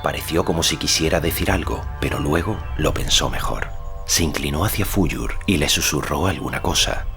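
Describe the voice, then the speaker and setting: A man with an exceptionally deep voice narrates a novel as an audiobook. His delivery is measured and solemn, in a scripted storytelling style, with faint music underneath. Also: gravelly, fairly bright tone.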